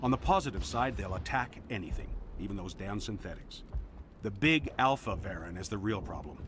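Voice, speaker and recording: A man's voice: fairly low pitch, animated, fairly quick, background noise, close to an everyday voice. A man playing a disgruntled colonist hunter in a video game, plainspoken and wry, in natural dialogue. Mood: grim, irritated.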